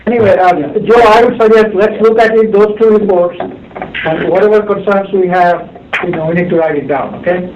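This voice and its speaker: A man's voice: high voice, normal speed. A man steering an informal technical meeting on a conference call, talking in short conversational phrases. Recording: dull, echoey, distant, very noisy call.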